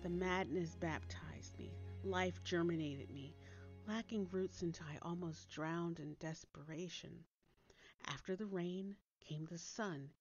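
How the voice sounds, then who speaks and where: A woman narrates a scary story in a low voice, taking her time over each phrase. Her delivery is theatrical and deliberate, sometimes hushed. The recording is slightly muffled, with music playing behind her. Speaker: author reading own story. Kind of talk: dramatic scripted storytelling narration. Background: soft background music.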